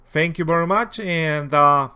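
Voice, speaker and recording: Guy w heavy spanish accent, native spanish speaker, kinda halting and rambly, just chatting unscripted for his gaming video. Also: fairly high pitch, pushed and bright, clean tone. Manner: hesitant, halting, rambling delivery. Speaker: video host, war-gaming enthusiast. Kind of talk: casual, unscripted game and book commentary.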